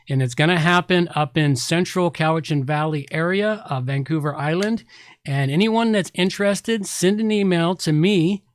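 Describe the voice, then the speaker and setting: A man's voice: fairly high pitch, no echo, studio quality. A man hosting a podcast, talking casually and informally in a rambling way as he gives announcements. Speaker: podcast host.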